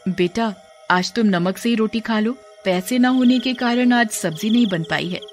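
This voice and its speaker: A woman voicing a poor, loving, worried mother in a moral story, gentle and plaintive. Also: a little high, normal speed, soft background music, natural voice. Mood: tender, downcast. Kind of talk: animated moral story dialogue.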